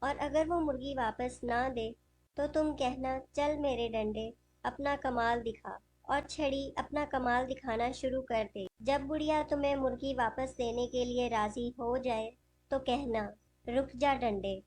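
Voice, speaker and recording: A woman's voice: high voice, steady tone, unhurried, darkish tone, no room sound. A woman voicing a stern, commanding fairy in a cartoon moral story. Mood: instructing.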